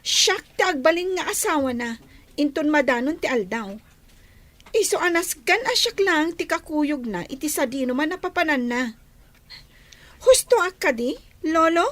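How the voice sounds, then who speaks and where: A very high, girlish voice acted by a woman for a melodramatic audio drama. She is possessive and lovestruck, pleading with whiny insistence like someone used to getting her way. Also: animated, normal speed, a bit bright, dry recording. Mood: tearful, pleading. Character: possessive, lovestruck young girl, insistent and pouting.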